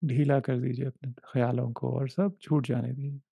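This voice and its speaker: A man leading a guided meditation, giving gentle, unhurried instructions in short repeated phrases with long pauses. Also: medium pitch, flat delivery, vocal fry, slightly rough, no echo. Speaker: meditation guide, life coach.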